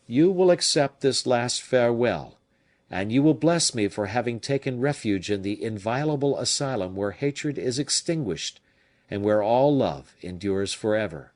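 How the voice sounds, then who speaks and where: A man's voice: mid-range voice, lively intonation, moderate pace, a little mellow, lightly acted. A man reading an audiobook, voicing a heartbroken young male nobleman who speaks solemnly and earnestly. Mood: despairing.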